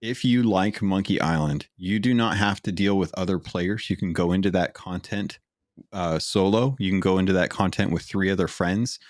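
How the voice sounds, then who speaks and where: Low-voiced man, casual podcast co-host. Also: moderate pace, no echo, studio quality. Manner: chatty, filler-heavy, eager when recommending games.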